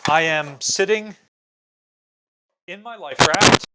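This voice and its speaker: A man's voice: on the high side, slow pace, background noise. An American man in his thirties giving an informal explainer to camera as a host, casual in manner with wry, dry humour. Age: 30s. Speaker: museum video host. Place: small bare indoor room.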